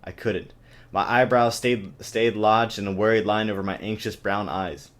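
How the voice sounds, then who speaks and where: A man's voice: on the low side, steady tone, normal speed. A man reading a novel aloud in a theatrical, exaggerated way and adding sarcastic comic asides, recorded on a webcam. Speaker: amateur reader and comic commentator. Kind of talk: mocking dramatic book reading with sarcastic asides. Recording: choppy amateur webcam recording.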